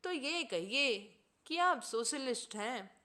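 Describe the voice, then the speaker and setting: A woman voicing an educated male doctor in a storybook reading, politely sceptical, measured and formal. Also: sits high, expressive, sharp tone, heavily acted.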